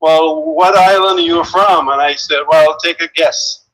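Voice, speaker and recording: Bright, high-voiced man with a faint Vincentian accent. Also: steady tone, poor audio. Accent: faint Vincentian, mostly lost. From Saint Vincent and the Grenadines. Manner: chatty, joking, halting restarts. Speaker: guest caller. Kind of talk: casual storytelling on a call. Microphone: phone call.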